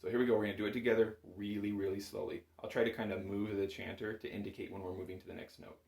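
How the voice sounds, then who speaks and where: A man's voice: on the low side, level tone, rapid-fire, creaky, a little mellow. A man giving a casual step-by-step music lesson as an instructor, informal, patient and encouraging. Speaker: bagpipe chanter instructor. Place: small indoor music room at home. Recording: roomy, echoing home room sound.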